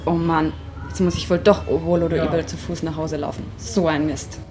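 A woman's voice: low voice, steady tone, normal speed, lightly acted. A woman playing a confused, insistent young woman in an amateur radio play, with some noise on the recording. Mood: puzzled, annoyed.

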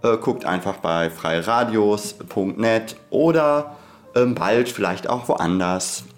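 Guy hosting a podcast, kinda low voice, informal, stops and restarts his sentences. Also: takes it slowly. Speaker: podcast co-host.

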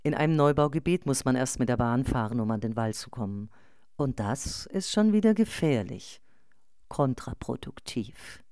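A woman acts out a thoughtful, nonconformist mother in a radio play collage. The voice is very low and dark, with a measured, reflective delivery in a very dry, close sound. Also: lively intonation, no room sound. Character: thoughtful, nonconformist mother answering online posts. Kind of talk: scripted radio collage reading of online posts.

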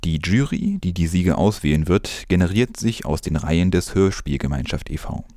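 A man presenting scripted news, reading in a measured, formal way with careful enunciation. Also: low-pitched, expressive, dark tone, dry recording, studio quality.

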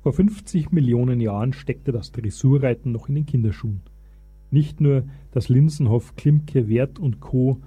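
An actor playing an eager, pedantic Bavarian husband who lectures as a self-styled expert in a comic radio play. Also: male voice, medium pitch, normal speed, mellow, slight background noise, lightly acted. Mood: mock-scholarly. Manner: pompous, long-winded.

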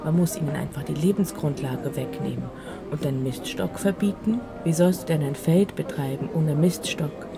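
A woman's voice: low voice, normal speed, creaky. A woman narrating a scripted audio play in the second person, telling it like a personal story. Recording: loud music under the voice. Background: prominent music.

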